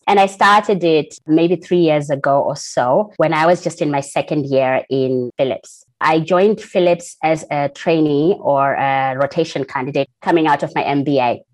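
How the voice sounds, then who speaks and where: A woman, a podcast guest talking in a lively way, often laughing and saying "like" and "right?". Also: low-pitched. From Zimbabwe. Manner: animated storyteller, laughs often, frequent "like" and "right?". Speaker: guest, employee group founder. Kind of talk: casual podcast interview.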